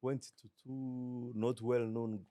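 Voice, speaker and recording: A middle-aged Kenyan man with a Kenyan accent, a business guest talking in a measured, reflective way and slipping into Swahili. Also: mid-range voice, level tone, warm tone, no echo, clean studio sound. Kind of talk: relaxed interview talk.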